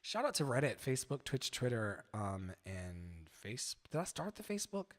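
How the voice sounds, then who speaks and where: A man hosting his own live music stream, chatting softly and a bit rambly between songs, thanking viewers and fiddling with his mic settings. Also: medium pitch, sing-song, moderate pace. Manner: soft-spoken, rambling, grateful asides to viewers. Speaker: live-streaming singer and host. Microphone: vocal microphone with EQ and compression.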